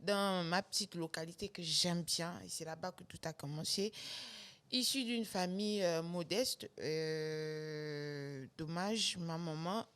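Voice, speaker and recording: This is a young woman from Gabon, worn out from a sleepless night as a new mother. Her voice sits a little low. She pauses on fillers and laughs between phrases as she tells her story. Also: a bit bright. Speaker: singer guest. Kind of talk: casual interview talk.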